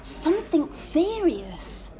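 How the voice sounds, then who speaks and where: Woman acting high, lilting, prim receptionist. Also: sing-song, takes it slowly, mellow, heavily acted. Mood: coy gossiping. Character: genteel, gossipy woman hotel receptionist. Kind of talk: audio drama dialogue.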